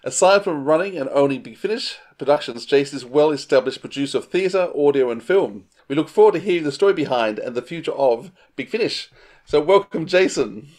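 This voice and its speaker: A man's voice: fairly high pitch, lively intonation, brisk, darkish tone. A middle-aged man hosting a friendly podcast interview over a video call.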